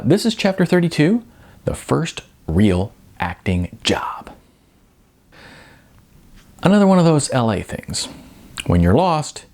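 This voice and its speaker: A man reading his own novel as an audiobook narrator, in an expressive, conversational way with some hesitations. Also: fairly low pitch, creaky.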